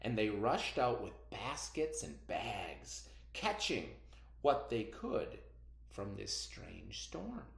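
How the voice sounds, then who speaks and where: A middle-aged American man teaches reading to young children. His delivery is very animated and unhurried, with expressive questions aimed at the listeners. The room around him sounds echoey. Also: on the low side, gravelly. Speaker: elementary school teacher. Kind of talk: read-aloud reading lesson for children. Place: indoors, roomy-sounding room.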